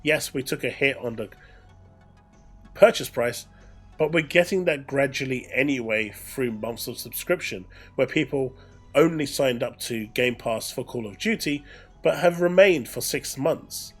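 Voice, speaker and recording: A man with a mid-pitched voice works as a gaming news commentator, talking over faint background music. He moves between reading aloud and casual explanation, restating his points for emphasis. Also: a little slow, slightly rough. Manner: explanatory, repeats phrases for emphasis.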